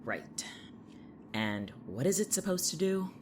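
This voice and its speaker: A woman's voice: on the low side, very animated, unhurried, slight background noise. A woman playing a sarcastic, defensive, exasperated young patient in an audio drama, blunt and snarky in delivery. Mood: exasperated, dismissive.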